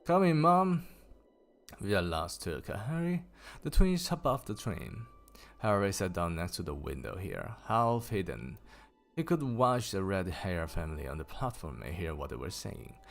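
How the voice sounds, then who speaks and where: A man streaming a casual story reading, raising his pitch for the characters and stopping to laugh and swear at typos. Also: very animated, measured pace, creaky. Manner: lifts pitch for quoted characters. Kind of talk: casual livestream book reading.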